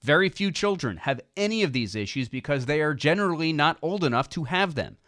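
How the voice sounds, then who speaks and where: A man reading scripted political nonfiction as an audiobook narrator, with emphatic, deliberate phrasing. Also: on the high side, animated, fairly bright tone, no echo, studio quality.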